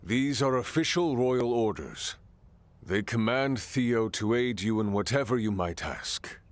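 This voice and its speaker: An actor playing a solemn king and father in a video game, speaking formally and with measured authority. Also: mid-range voice, lively intonation, no echo, lightly acted. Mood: grave, measured. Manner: formal, stately, deliberate phrasing. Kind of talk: video game cutscene acting.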